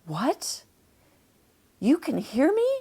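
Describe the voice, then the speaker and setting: A woman voicing a gentle, sad male manatee in a children's storybook, with an earnest, plaintive delivery. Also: high-pitched, huge pitch swings, very slow, slightly rough, full character voice. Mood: hopeful, pleading.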